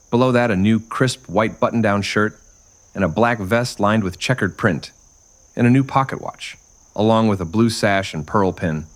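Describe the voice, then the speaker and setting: A man narrating a scripted Western story in the manner of old-time radio drama. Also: on the low side.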